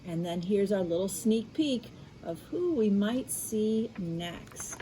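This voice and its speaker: An American woman reading a picture book aloud to children in an expressive way, adding explanatory asides. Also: medium pitch, a little hiss or hum, poor audio. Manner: animated, unhurried, addressing children. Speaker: school media specialist reading aloud.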